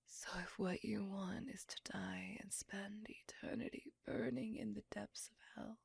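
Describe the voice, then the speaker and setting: Breathy, whispering vampire seductress acted by a woman. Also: mid-range voice, fairly even intonation, no room sound, heavily acted. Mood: obsessive, seductive. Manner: intimate, drawn-out, dramatic delivery. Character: lovesick, possessive female vampire lover, melancholy and seductive. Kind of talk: ASMR roleplay acting.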